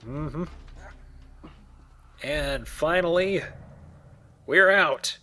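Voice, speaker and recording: A man's voice: a little high, extremely slow, bright, forceful tone. A man giving casual, rambling, explanatory commentary while playing a video game. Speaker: let's play host, playing the game.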